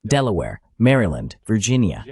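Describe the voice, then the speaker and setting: A man's voice: fairly low pitch, huge pitch swings, unhurried, vocal fry, darkish tone. A man delivering a translated voice-over of a political discussion.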